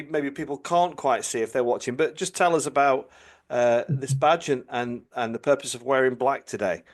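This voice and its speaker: A man, a priest, hosting a podcast interview in a friendly way with hesitations and repeated words. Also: medium pitch.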